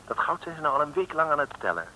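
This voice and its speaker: A man's voice: fairly high pitch, very animated, quick pace, bright, forceful tone, slight background noise, rough recording. A man narrating a comic radio serial in a playful, mock-solemn manner.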